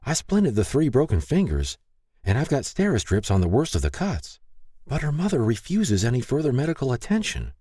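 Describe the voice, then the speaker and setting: A man voicing a brisk, practical woman medic in an audiobook. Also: mid-range voice, huge pitch swings, dark tone, slightly rough, no echo, studio quality, voice pushed up. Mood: concerned. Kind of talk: audiobook character dialogue.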